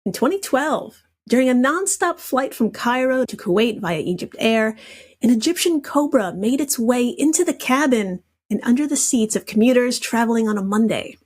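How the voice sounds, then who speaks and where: High-voiced, giggly woman quizmaster. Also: animated, moderate pace, darkish tone. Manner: giggly, irreverent. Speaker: podcast guest quizmaster. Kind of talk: casual comedic quiz reading.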